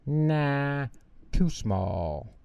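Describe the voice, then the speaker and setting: Man playing a self-assured, creaky lady doctor. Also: medium pitch, sing-song, extremely slow, darkish tone, dry recording, dull audio, voice pushed up, full character voice. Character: brisk, needle-happy, self-assured female doctor.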